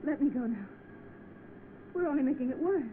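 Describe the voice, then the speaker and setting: A woman's voice: high-pitched, animated, a little fast, narrow, tinny audio. A woman playing a self-sacrificing young sweetheart in an old film melodrama, on a noisy, thin soundtrack. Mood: pleading.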